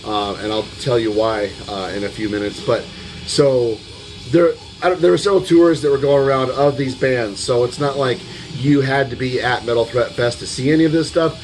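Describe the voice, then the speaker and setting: A man with a slightly hoarse voice giving a casual vlog recap, rambling and hesitant, with the odd casual swear word. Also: medium pitch, moderate pace, darkish tone, poor audio. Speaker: music vlogger. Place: at home. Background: metal music playing in the background.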